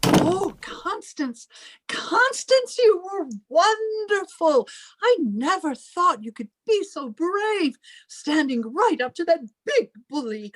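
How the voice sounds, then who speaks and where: An actress playing a prim, protective spinster sister in an old-time radio drama, formal and melodramatic. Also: female voice, extremely high pitch, big pitch swings, slow, exaggerated voice, poor audio. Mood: gushing relief.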